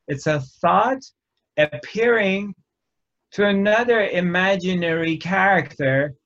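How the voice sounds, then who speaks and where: Slow, measured man teaching spirituality. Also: fairly high pitch, fairly bright tone. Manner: slow, measured, pausing often between phrases. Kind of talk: reflective spiritual talk. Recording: dull lo-fi recording.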